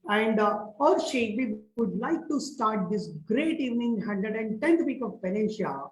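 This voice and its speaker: A woman's voice: on the high side, animated, unhurried, reverberant, slight background noise, poor audio. A woman hosting an online talk show, introducing her guest in an upbeat, rousing way. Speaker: host.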